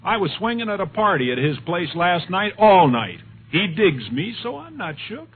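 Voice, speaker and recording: A man's voice: high-pitched, sing-song, normal speed. An actor playing a cool young male beatnik drifter who narrates in laid-back jive slang, in a 1950s radio drama. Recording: tinny old radio broadcast.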